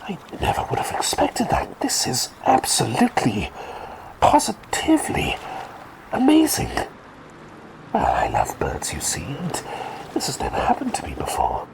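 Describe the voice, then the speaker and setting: Guy doing a rambling little bird-doctor, whispery, voice leaps from super low to way up high. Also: huge pitch swings, measured pace, vocal fry, heavily acted, low-quality recording. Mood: bemused whisper. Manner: whispery, rambling, eccentric, chatty. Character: quirky eccentric male plague doctor turned small bird. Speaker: ASMR roleplay performer. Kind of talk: whispered ASMR roleplay.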